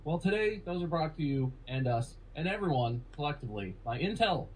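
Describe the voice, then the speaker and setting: Male podcast host with a high, lively, sarcastic, rambling delivery. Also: brisk, slight background noise, poor audio. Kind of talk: comedic podcast banter.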